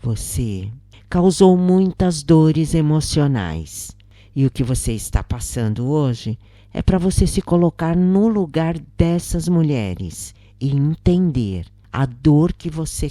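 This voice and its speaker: A calm female spiritist counselor played by a woman narrator gives solemn guidance in a Brazilian accent. Her storybook lines come slow and low, with a mellow, reassuring tone. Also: dry recording, studio quality, exaggerated voice. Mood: solemn, admonishing.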